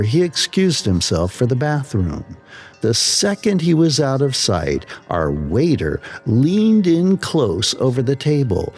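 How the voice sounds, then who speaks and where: A man narrating a scripted slow-burn horror story in a measured way with dramatic pauses. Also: mid-range voice, a little mellow, breathy edge.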